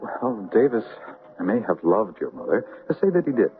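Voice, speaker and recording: An actor playing a fatherly, reasonable and gently skeptical man in a radio drama. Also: on the low side, wildly swooping pitch, normal speed, soft background music. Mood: reasoning, exasperated. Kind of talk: 1970s radio drama acting. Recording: muffled, hissy old radio recording.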